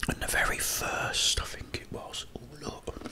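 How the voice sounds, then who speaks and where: A man speaking in a breathy whisper, chatting and telling casual stories in a halting way as an ASMR host while eating. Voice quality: breathy, mostly unvoiced whisper.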